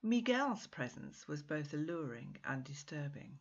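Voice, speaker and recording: A woman author reading her own short story in an expressive, measured, dramatic literary narration. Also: low-pitched, muffled recording.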